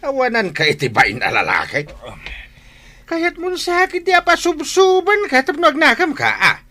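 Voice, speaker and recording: A stern Ilocano father for an audio drama. The voice is piercingly bright and forceful, pitched very high for a man, and it swings up and down as he scolds. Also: huge pitch swings, moderate pace, full character voice. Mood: scolding, admonishing. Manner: forceful, admonishing. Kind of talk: Filipino audio drama acting.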